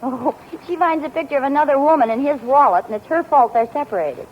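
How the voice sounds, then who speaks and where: A woman's voice: high-pitched, fast, a little hiss or hum. A woman acting an inquisitive, persistent wife in a 1940s radio sitcom. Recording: muffled old radio broadcast with audience.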